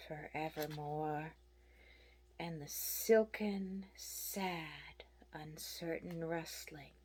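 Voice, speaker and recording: A woman performs a poem in a soft, husky voice with a dark, mellow tone. Her delivery is slow and theatrical. She moves between whispered phrases and emphatic, punched words. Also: low voice. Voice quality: soft and breathy, often dropping to a whisper. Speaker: poetry reader. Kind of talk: dramatic literary poetry recitation.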